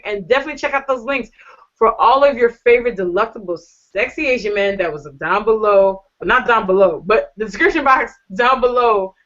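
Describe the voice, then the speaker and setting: A woman's voice: a little high, a bit bright. A woman hosting a casual online panel show, gushing and flirty as she thanks her guests. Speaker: talk show host. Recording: dull lo-fi audio.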